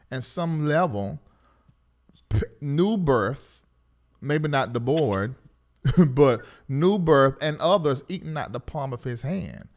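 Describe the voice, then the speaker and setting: Male live call-in host, mid-range voice, conversational and unscripted. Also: mid-range voice. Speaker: talk show host. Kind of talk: casual live call-in talk.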